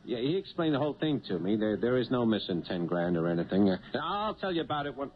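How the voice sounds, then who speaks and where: A man's voice: medium pitch, sing-song, quick pace, lightly acted. An actor playing a male cab driver turned small-time blackmailer in a 1970s radio drama, with hesitant, folksy working-man phrasing. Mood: nervous, evasive. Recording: muffled old radio broadcast, loud vehicle noise.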